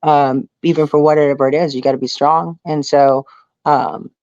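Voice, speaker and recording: A woman's voice: low-pitched, steady tone, normal speed, a little mellow, dry recording. Female interview guest, informal and emphatic, seeking agreement as she talks. Dry, slightly lo-fi sound. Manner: emphatic, conversational, often checking with listener. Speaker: guest, reality survival show contestant. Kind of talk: casual interview talk.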